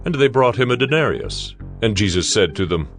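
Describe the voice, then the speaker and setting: A man narrating scripture in a deliberate, storytelling way. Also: lowish voice, very animated, fairly bright tone, faint music behind.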